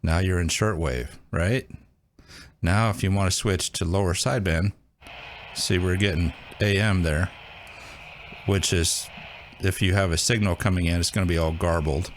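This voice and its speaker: A man's voice: sits low, fairly even intonation, normal speed, long pauses, slight background noise. A man hosting a casual hands-on how-to, talking informally and thinking aloud as he demonstrates each step. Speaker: host of a radio how-to channel.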